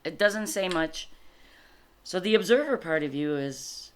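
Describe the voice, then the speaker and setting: A woman whose first language is French gives informal spiritual guidance as a card reader, reflective and halting, explaining things in short phrases. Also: on the low side, expressive, a little hiss or hum. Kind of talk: informal spiritual guidance, reading cards aloud and commenting.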